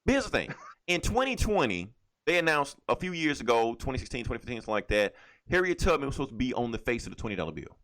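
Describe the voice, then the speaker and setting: A man co-hosting a podcast, chatting casually about comics and games in a profane, opinionated, animated way. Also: mid-range voice, rapid-fire. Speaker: podcast co-host. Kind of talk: casual comic book and video game chat.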